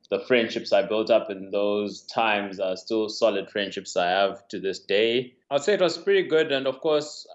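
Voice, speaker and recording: A man of about thirty from Zimbabwe answers a podcast host over an internet call. His voice sits low, and the audio is dull and lo-fi. He talks easily and keeps softening his points with "sort of.". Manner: relaxed, hedging with "sort of" and "yeah". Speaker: software engineer guest.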